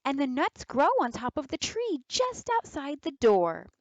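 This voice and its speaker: A woman's voice: high voice, huge pitch swings, measured pace, darkish tone, no room sound, dull audio, voice pushed up, heavily acted. A woman voicing a clever, wary eldest girl in a folktale, questioning and politely crafty. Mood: sweetly crafty.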